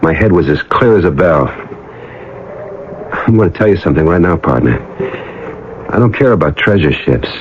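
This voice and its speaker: An actor playing a young adventurous deep-sea diver in a radio drama, dreamy and entranced as he tells his story. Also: animated, normal speed, pauses often, background noise. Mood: entranced, insistent. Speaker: actor narrating and playing the lead. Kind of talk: radio drama acting with first-person narration. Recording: dull vintage radio recording, music behind.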